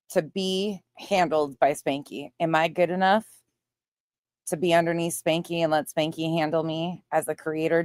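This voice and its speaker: A woman with a somewhat low voice, recorded very dry with little room sound. She talks casually as a livestream host reacting to someone, with sarcasm and blunt, sweary mockery. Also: darkish tone, no room sound. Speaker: livestream host reacting to another creator's stream.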